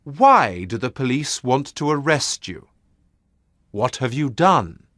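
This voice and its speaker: A man's voice: mid-range voice, sing-song, slow pace, fairly bright tone, no echo, voice pushed up. A man doing a dry, inquisitive detective. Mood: intrigued. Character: bored, curious male detective, dry and sharp.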